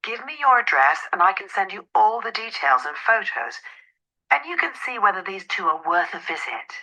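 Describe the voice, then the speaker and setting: A woman's voice: mid-range voice, sing-song, normal speed, pushed and bright, dull audio, full character voice. A woman playing a friendly, helpful letting agent in a scripted listening-exercise dialogue. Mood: helpful, upbeat.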